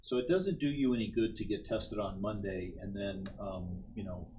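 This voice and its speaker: A man's voice: lowish voice, normal speed, a little hiss or hum. A man who is a company executive, explaining things step by step on a talk show and often ending points with "right?". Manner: steady explainer, frequent "right?" tags.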